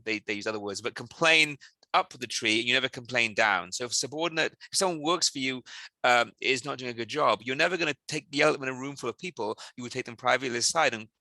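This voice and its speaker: A man from South Wales talks fast as a CEO in an interview, his voice very bright and forceful. He tells animated stories and often restarts his sentences. The sound is dry and close, with no room around it. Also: mid-range voice, dry recording, rough recording. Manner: quick, animated storytelling with frequent restarts. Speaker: company CEO, interview guest. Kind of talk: casual interview answers.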